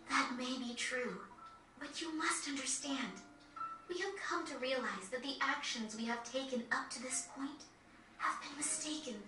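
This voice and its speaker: A woman acting a gentle, loyal young woman in a video game, polite and earnest. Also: high-pitched, moderate pace, background noise, close to an everyday voice. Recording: echoey, distant, over faint game music.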